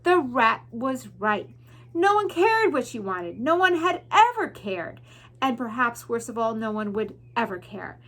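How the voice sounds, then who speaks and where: A woman's voice: a little high, very animated, measured pace, a bit bright. A woman narrating a children's book expressively, like a teacher reading aloud to pupils.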